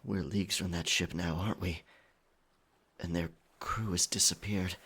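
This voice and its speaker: A man playing a roguish, gallant pirate captain in an ASMR audio roleplay, with a husky, breathy voice and dramatic, unhurried delivery. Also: deep voice, steady tone, heavily acted. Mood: suspicious, awed. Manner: slow, dramatic, hushed at times.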